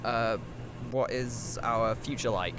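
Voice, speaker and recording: A man in an audio drama playing a naive, incredulous man questioning his future self in halting phrases. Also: medium pitch, noisy background, natural voice. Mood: curious.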